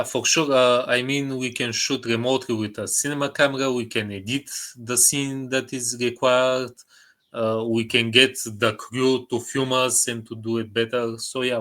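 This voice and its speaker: A man's voice: medium pitch, level tone, normal speed, a bit bright. A man with a Bulgarian accent chatting casually as an interview guest, often repeating short phrases for emphasis. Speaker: guest, indie filmmaker.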